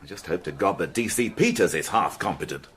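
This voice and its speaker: Brisk British inspector, husky low voice, lively, radio drama. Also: male voice, full character voice. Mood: impatient. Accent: British English. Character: weary, curt male police inspector. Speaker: police inspector. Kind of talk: radio crime drama acting.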